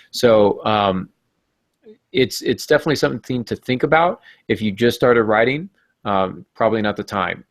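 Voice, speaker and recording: A man's voice: fairly low pitch, dry recording. A man hosting a podcast, talking informally and jokily as he gives writing advice. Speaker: podcast host.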